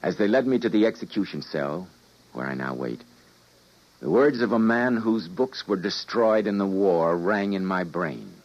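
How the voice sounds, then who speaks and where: A grave, anguished scientist tells of his doomed time travels in a low, sweeping voice. The delivery is dramatic 1950s radio acting, heard through a muffled vintage recording. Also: expressive, normal speed. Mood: despairing, resigned.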